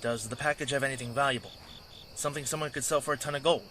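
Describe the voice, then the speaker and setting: A man's voice: medium pitch, steady tone, rapid-fire, sharp tone, a little hiss or hum, natural voice. A man playing a sardonic wolf-man drifter and former warrior, narrating and acting casually in an audio drama. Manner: wry, casual. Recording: music behind.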